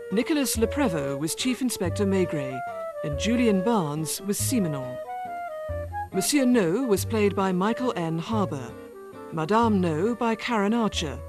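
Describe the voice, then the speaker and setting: A radio drama announcer reading scripted credits in a formal broadcast style. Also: mid-range voice. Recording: loud guitar music bed. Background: plucked string music.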